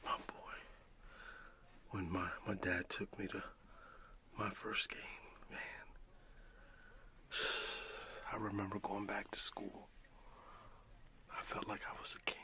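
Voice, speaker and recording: A man acts as a loving father in an ASMR roleplay, whispering to his young son. His voice is low and gravelly under the breath. He takes his time, with long pauses and a folksy, affectionate way of talking. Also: little pitch movement. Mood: fond, nostalgic.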